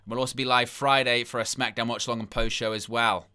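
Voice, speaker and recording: Bright, crisp-voiced man hosting a livestream. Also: mid-range voice, flat delivery, fast talker. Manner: incredulous, rambling asides; reads chat comments aloud and plugs likes. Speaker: wrestling livestream host. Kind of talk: live watchalong commentary.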